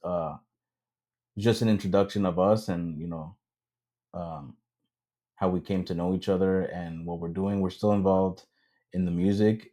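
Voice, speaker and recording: Man, casual podcast co-host, hesitant with lots of ums, deep voice. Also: dark tone. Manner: hesitant, many ums, switches into Spanish. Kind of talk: casual chat.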